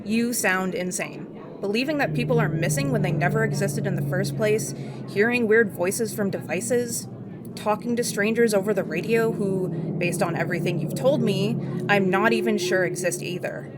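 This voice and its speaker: A woman's voice: mid-range voice, normal speed, loud music behind the voice, close to an everyday voice. A woman playing a skeptical female best friend in an audio drama, blunt, incredulous and firm.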